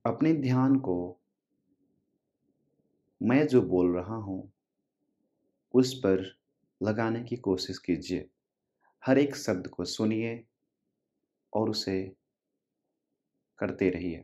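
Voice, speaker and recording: A man with a low, mellow voice leads a guided yoga relaxation. He speaks very slowly, in short instructive phrases with long pauses between them. The sound is slightly dull and lo-fi.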